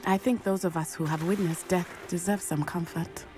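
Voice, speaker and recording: A woman playing a solemn, brave teenage witch in a video game, speaking in a formal, measured way. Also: lowish voice, fairly even intonation, natural voice. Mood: wistful, grieving. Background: music.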